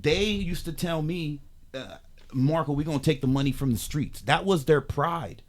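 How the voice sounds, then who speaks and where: A man in his forties from the San Fernando Valley, telling stories in a streetwise, animated way with lots of slang. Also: fairly high pitch, moderate pace. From San Fernando Valley, California. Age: 40s. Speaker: guest. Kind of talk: casual chat.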